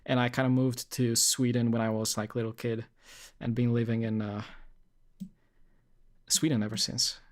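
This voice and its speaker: A man's voice: mid-range voice, little pitch movement, moderate pace, long pauses. A young man from Poland chatting casually on a live stream, rambling with lots of fillers and restarts.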